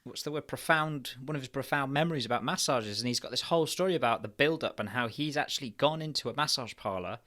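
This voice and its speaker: A man's voice: mid-range voice, fast, few pauses, bright, forceful tone. A man co-hosting a podcast in casual, candid chat, frank and profane, rambling and repeating his phrases. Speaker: podcast co-host.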